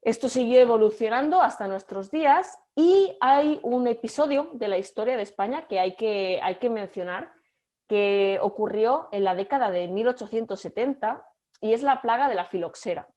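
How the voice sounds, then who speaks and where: A young Catalan woman with a Catalan Spanish accent, giving an online lecture over a video call and speaking haltingly with frequent fillers and restarts. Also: on the high side, expressive, warm tone. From Catalonia, Spain. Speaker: guest lecturer.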